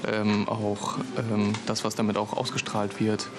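A man's voice: lowish voice, monotone, a little slow. A man giving a spontaneous, hesitant vox-pop answer as a shop customer. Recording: noisy ambient location sound.

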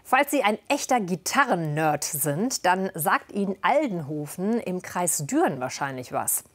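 Conversational woman news presenter. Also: on the low side. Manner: clear and conversational.

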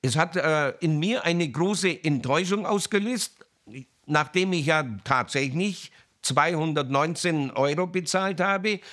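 Higher-voiced man, halting interview account. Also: fairly bright tone.

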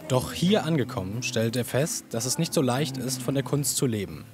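Lively male report narrator. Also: medium pitch, moderate pace. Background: music bed.

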